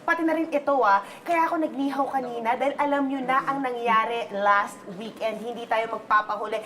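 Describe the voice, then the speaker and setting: A woman's voice: on the high side, fast, a bit bright. A Filipina woman with a Filipino accent, hosting a live show and mixing English with Tagalog in a bubbly, upbeat way. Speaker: host. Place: newsroom studio.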